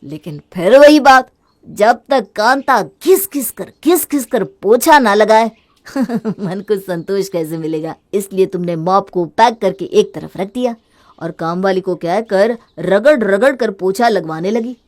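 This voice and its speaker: A woman's voice: medium pitch, expressive, unhurried, darkish tone, pitched below the performer's own voice, natural voice. A woman voicing a young husband in a storybook drama, fed up with his wife's spending and mocking her sarcastically. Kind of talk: storybook voice acting.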